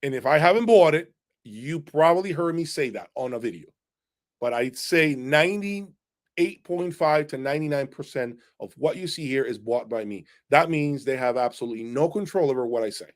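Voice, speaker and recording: A man's voice: a little high, lively intonation, normal speed. A man hosting an unscripted audio review livestream, talking in an emphatic, opinionated way and often checking in with "okay?". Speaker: audio equipment reviewer and channel host. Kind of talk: unscripted livestream talk answering viewers' questions.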